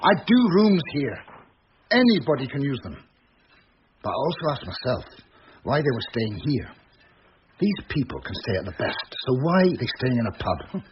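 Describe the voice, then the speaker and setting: An actor playing a gruff, guarded ex-convict pub landlord in a radio drama, curt and defiant. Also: male voice, mid-range voice, sing-song, normal speed, long pauses, close to an everyday voice. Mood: wary, frank. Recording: dull lo-fi radio audio, clear noise.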